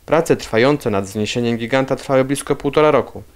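Male reporter voice-over, steady and scripted, middle pitch. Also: a little fast. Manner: even, read-aloud delivery. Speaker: news reporter.

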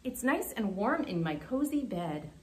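A woman's voice: mid-range voice, sing-song, unhurried, darkish tone. A woman voicing a sleepy, stubborn little male groundhog in a children's storybook.

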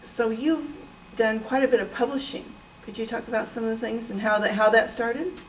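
A woman's voice: fairly high pitch, fairly even intonation, normal speed, recorded from a distance, a little hiss or hum, rough recording. A woman in her fifties hosting an interview, asking her guest questions in a welcoming manner. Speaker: host, violin teacher. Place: office room, indoors.

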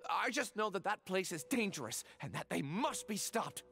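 A shaken young man in a game drama. His anxious, halting voice creaks and swings in pitch, with soft music underneath. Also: very animated, exaggerated voice. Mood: shaken, urgent. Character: frightened, shaken young male kidnapping victim. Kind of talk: dramatic video game dialogue.

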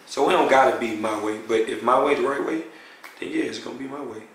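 A young man with a slightly raspy voice, talking in a loose, rambling way, as a blindfolded contestant on a blind date. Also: mid-range voice, fast talker, fairly bright tone, a little hiss or hum. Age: young adult. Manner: laid-back, rambling, lots of "you know what I'm saying". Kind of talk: casual chat. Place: living room indoors. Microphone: far camera. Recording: echoey room from far off.

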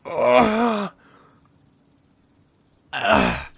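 A woman acting a raspy, halting, dying survivor. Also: mid-range voice, wildly swooping pitch, dry recording, heavily acted. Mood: dazed, wistful. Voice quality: hoarse, gravelly, breathy. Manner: halting, groaning, gasping. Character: dying, injured crash survivor, dazed and bitter. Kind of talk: dramatic sci-fi audio drama monologue.

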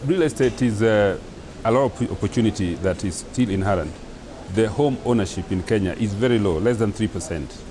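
A man giving an interview in a deliberate, hesitant way, pausing on many "uh"s. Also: lowish voice, warm tone, slight background noise, poor audio. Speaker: company founder.

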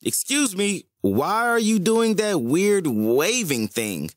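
An irritated, bemused boy, put on by a performer for an audio story. He drawls in exasperation, with wildly swooping pitch and a slow pace. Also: sing-song, no room sound.

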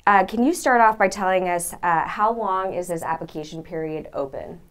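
Woman, American accent, lowish pitch, very clean smooth tone, casual interview host. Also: moderate pace, fairly bright tone. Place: indoor TV studio at a desk.

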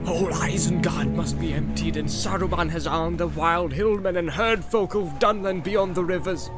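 A man's voice: on the high side, pitched above the performer's own voice. A man voicing a weary, battle-worn rider in a fantasy audiobook, urgent and grim. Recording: loud music behind. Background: loud music.

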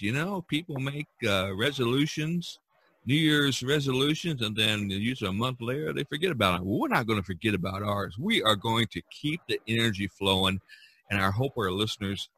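A man's voice: mid-range voice, pushed and bright, husky. An American man hosting a podcast, talking in an upbeat, emphatic and enthusiastic way. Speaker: podcast host.

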